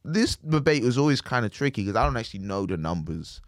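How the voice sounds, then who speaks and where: A man's voice: medium pitch, sing-song, fast talker, no echo, clean studio sound. A man co-hosting a podcast in casual chat, rambling laid-back and tagging his lines with "man". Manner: laid-back rambling, tags lines with "man" and "do you know what I mean". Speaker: podcast co-host.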